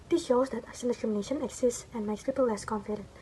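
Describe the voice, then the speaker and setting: A woman's voice: fairly high pitch, fairly even intonation, fast, mellow, a little hiss or hum, rough recording. A young woman from Indonesia with a Sundanese accent, a university student reading her written assignment aloud with small stumbles and self-corrections. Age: young adult.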